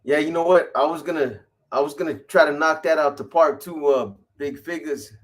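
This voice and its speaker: A man's voice: fairly high pitch, moderate pace. A man in his fifties hosting a casual livestream, answering viewer comments in a laid-back, profane, slang-heavy way. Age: 50s.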